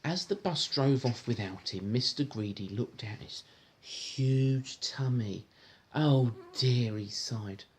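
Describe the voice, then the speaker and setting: A man reads a children's story to his child. His intonation is highly varied and his manner coaxing and child-directed. There is faint noise in the recording. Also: expressive, takes it slowly. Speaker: parent reading a bedtime-style story to a child. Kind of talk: storybook reading with chat to a child.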